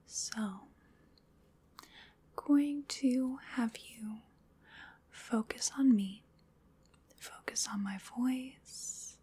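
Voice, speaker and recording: A woman performs a female vampire in an ASMR roleplay, speaking in a very breathy whisper at an unhurried pace. She is chatty and wryly polite, with a playful menace. Her soothing delivery dotes on her prey. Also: fairly high pitch, mellow, exaggerated voice.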